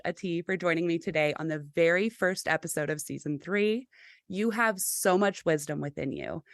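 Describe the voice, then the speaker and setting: A woman's voice: lowish voice, no echo, clean studio sound. A young adult woman hosting a podcast, reading an intro and interviewing a guest in an encouraging, gushing manner. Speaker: podcast host. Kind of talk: scripted intro then casual interview.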